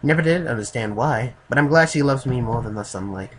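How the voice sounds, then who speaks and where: A man voicing a polite, dry Japanese man in a story, attempting a slight Japanese accent with careful, halting speech. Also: mid-range voice, animated, a crowd in the background, lightly acted. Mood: earnest. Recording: clearly noisy home recording.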